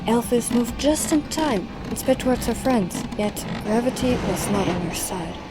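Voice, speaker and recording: A woman's voice: fairly high pitch, lively intonation, moderate pace, loud music behind the voice. A woman narrator reading scripted story narration for an audio drama.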